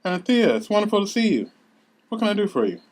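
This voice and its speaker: A man's voice: high-pitched, very animated, fast talker, darkish tone, pitched above the performer's own voice. A man playing a cold, commanding woman boss with a formal, imperious manner in a script read. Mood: polite. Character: commanding, cold female boss defending her rule.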